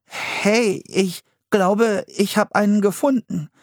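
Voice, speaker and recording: A man's voice: sits high, steady tone, takes it slowly, no room sound, clean studio sound, pitched above the performer's own voice. A man voicing a cautious, bookish young boy with an earnest, slightly plaintive manner in a children's audiobook. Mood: unsure. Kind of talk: audiobook children's story character voice.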